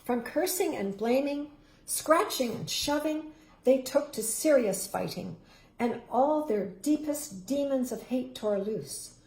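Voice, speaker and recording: Expressive woman reading a storybook aloud. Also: high voice, slow pace, a little hiss or hum. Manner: expressive, dramatic rises and hushed, whispered endings. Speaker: storybook reader. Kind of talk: reading a picture book aloud. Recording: roomy echo.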